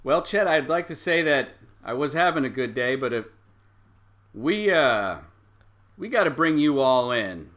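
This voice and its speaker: Man playing a folksy small-town cop, sharp-edged voice. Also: medium pitch, expressive, measured pace. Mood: apologetic, insistent. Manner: hesitant, folksy, stumbling. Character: folksy small-town policeman, apologetic but insistent.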